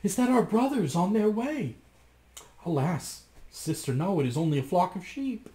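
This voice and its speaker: A man's voice: high voice, animated, normal speed, warm tone, voice pushed up. A man doing an anxious young sister. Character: anxious young woman, the wife's sister, lookout. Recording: echoey room.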